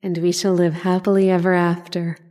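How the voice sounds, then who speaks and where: A woman's voice: fairly low pitch, little pitch movement, fairly quick, mellow, lightly acted. A woman playing a possessive, adoring dream lover, tender, coaxing and insistent, in an old-time radio horror drama. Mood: loving, insistent.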